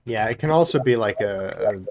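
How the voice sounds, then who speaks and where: Relaxed man explaining game controls. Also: fairly low pitch, moderate pace, background noise. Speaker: channel host, gamer. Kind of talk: casual chat while playing.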